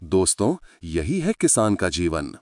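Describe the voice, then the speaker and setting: A man's voice: deep voice, wildly swooping pitch, measured pace, darkish tone, no echo, studio quality. A man narrating a story in a dramatic scripted style, speaking to his listeners as friends.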